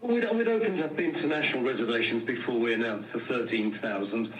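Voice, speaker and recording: A man speaking as a company executive on an earnings call, earnest and deliberate, repeating words as he forms his answers. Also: medium pitch, a bit bright. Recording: muffled, echoey room, distant microphone.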